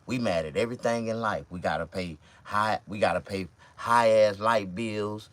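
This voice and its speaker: A man around fifty with a Mississippi accent, talking to camera in a loose, profane, rambling monologue with sudden loud outbursts. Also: on the low side, normal speed, dry recording. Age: 50s. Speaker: vlogger and stand-up comedian.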